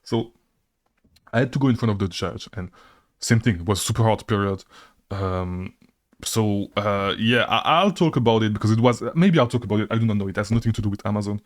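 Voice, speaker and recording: Rambling low-voiced man telling his story. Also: brisk. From Belgium. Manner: rambling, self-correcting, repeats "super hard" and "okay?". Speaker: online seller and channel host. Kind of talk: casual personal story to camera.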